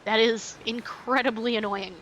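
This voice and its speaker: A woman's voice: a little high, fairly even intonation, moderate pace, a bit bright, muffled recording, a little hiss or hum. A woman livestreaming a game with casual commentary, reading dialogue aloud and muttering to herself. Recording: faint game music behind the voice. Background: game music.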